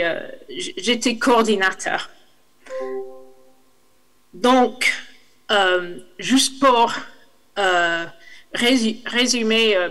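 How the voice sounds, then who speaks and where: English woman speaking slow, halting French. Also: mid-range voice, fairly bright tone, rough recording. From England. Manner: hesitant, many fillers and restarts. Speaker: international consultant. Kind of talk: online conference presentation.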